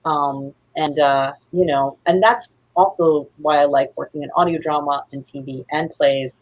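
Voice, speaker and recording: A woman with a husky voice chatting casually as an interview guest, effusive and rambling. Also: low-pitched, steady tone, moderate pace, dull audio. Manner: effusive, rambling, acts out quotes. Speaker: guest, playwright.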